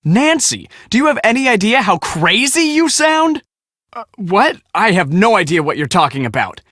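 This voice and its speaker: Cocky young American storm chaser for an adventure game: higher-pitched, very animated, slangy and boastful, dry close sound. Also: male voice, moderate pace, no room sound, heavily acted. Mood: annoyed, indignant. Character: cocky, excitable young storm-chasing photographer, squeamish about mice. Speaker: video game character, storm team media guy. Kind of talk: animated casual game dialogue.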